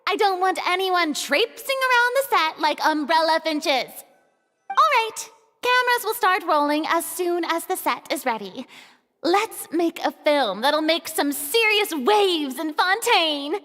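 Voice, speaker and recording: A woman's voice: very high voice, lively intonation, a little slow, a bit bright, big-room sound, faint music behind, heavily acted. A woman playing a theatrical, self-assured young female film director in a video game. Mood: commanding. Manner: theatrical, commanding.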